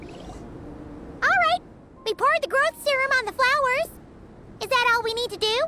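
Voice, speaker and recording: An extremely high, squeaky fairy child, eager and full of questions. The voice swoops up and down in animated video game style. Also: female voice, measured pace, noisy background. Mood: puzzled. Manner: exclaiming, eager, inquisitive.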